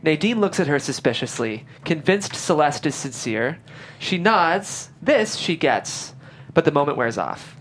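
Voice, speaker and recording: A woman's voice: sits low, very animated, unhurried, slight background noise. A woman reading stage directions as scripted narration at a table read.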